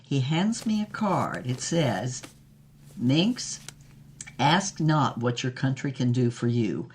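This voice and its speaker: An actress playing a worldly old former film star, telling her tales frankly and theatrically in a dramatised interview, with deliberate dramatic pauses. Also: extremely low pitch, very animated, a little mellow, a little hiss or hum, exaggerated voice. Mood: dramatic, confiding. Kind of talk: dramatised interview, storytelling monologue.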